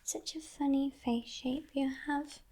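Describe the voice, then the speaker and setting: A woman voicing a teasing, possessive female demon captor in a breathy, mock-polite whisper, as ASMR roleplay. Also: sits high, fairly even intonation, a little hiss or hum. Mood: smug, amused. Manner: slow, hushed, mock-polite, condescending.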